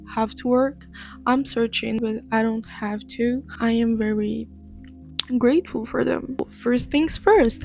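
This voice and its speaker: Slow, soft-spoken young woman vlogger. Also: a little high, creaky. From Madagascar. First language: Malagasy and French. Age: young adult. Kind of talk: casual talking-to-camera vlog.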